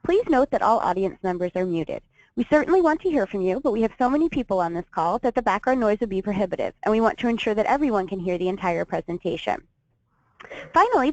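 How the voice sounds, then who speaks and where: Woman, webinar host, scripted introduction, clear orderly delivery, lively pitch, dull dry sound. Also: no room sound.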